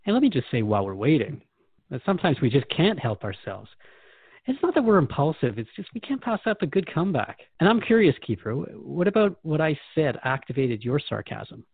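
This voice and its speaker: Animated, quick-talking male radio host. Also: on the high side, husky, no echo, phone-quality sound. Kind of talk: conversational scripted radio hosting.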